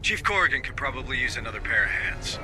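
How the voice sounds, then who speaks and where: An actor playing a curt, authoritative and wary space marine officer in a sci-fi game. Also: male voice, medium pitch, big pitch swings, quick pace, pushed and bright, soft background music. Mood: stern, commanding. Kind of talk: video game voice acting.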